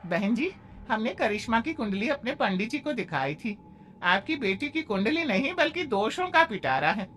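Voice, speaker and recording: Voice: medium pitch, sing-song, moderate pace, a bit bright, a little hiss or hum, voice pushed up. A woman voicing a superstitious, disapproving groom's mother in a cartoon story, blunt and haughty.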